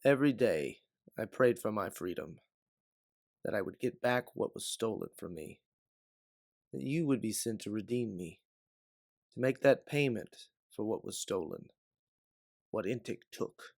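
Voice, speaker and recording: A man voicing a devout, loyal companion in a sci-fi story, speaking in an earnest, persuasive, measured way. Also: fairly low pitch, animated, vocal fry, darkish tone, dry recording, clean studio sound, full character voice. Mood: devout, hopeful. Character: Aleph, devout, loyal, forgiving companion and resistance believer.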